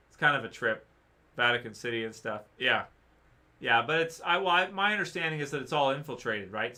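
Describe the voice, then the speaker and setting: A man with a very bright, forceful tone talks loosely through chat questions on a livestream. He pauses, restarts and fills gaps with "um" and "uh". His voice is wearing thin, as he says he is losing it. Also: medium pitch. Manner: rambling, hesitant, many fillers and restarts. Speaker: livestream host answering chat.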